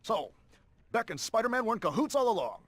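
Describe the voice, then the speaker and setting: A man voicing a gruff, impatient, stingy middle-aged newspaper boss in a video game, brusque and dismissive. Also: high voice, sing-song, quick pace, a bit bright, slight background noise.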